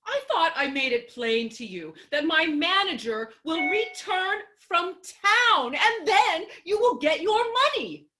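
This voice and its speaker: A woman's voice: extremely high pitch, lively intonation, unhurried, few pauses, pushed and bright, heavily acted. A woman playing a prim, offended young widow in a theatrical stage comedy reading, speaking formally and with indignation. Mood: offended, firm.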